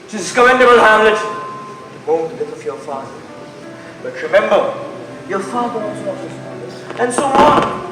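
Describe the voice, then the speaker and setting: A young man playing a formal king and stepfather in school stage Shakespeare, declaiming in measured phrases. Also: sits high, animated, recorded from a distance, over music. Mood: stern, persuading. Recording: roomy, noisy stage recording from afar.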